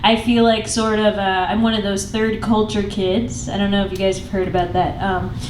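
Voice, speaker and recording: A woman's voice: mid-range voice, level tone, moderate pace, noisy background. A young woman from Pakistan, a comedian guest chatting on a live stage, self-deprecating and rambling, often laughing at herself. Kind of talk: casual live stage interview. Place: small live comedy venue. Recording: roomy live venue, distant mic, audible ambience.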